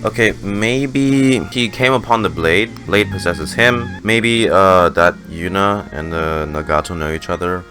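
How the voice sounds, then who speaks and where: A man's voice: sits low, unhurried, hardly any pauses, pushed and bright, faint music behind. A man giving casual game reaction commentary as a streamer, musing and thinking aloud.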